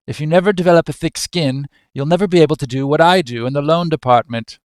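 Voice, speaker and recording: A man's voice: medium pitch, normal speed, dry recording, studio quality, close to an everyday voice. A man playing a pompous, stern and scornful banker father who blusters haughtily in old-fashioned phrases. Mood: exasperated, commanding.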